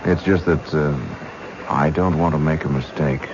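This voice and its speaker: An actor playing a hesitant, politely menacing killer in a radio drama. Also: male voice, rumbling low, fairly even intonation, normal speed. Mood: quietly menacing. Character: hesitant, soft-spoken male killer stalking women. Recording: noisy, muffled vintage radio broadcast.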